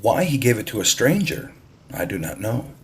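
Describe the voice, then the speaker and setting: A man narrating an audiobook in a deliberate, expressive storytelling style. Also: mid-range voice, breathy edge.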